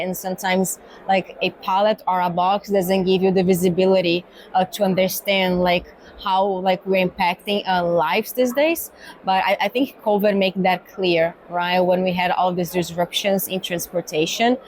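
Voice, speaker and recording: A woman's voice: fairly low pitch, a little hiss or hum. Brazilian-accented woman with thoughtful, filler-filled answers. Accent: Brazilian Portuguese. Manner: thoughtful, many fillers and tag "right?". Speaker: guest, pharma cargo executive. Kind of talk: conversational interview answers. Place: exhibition hall.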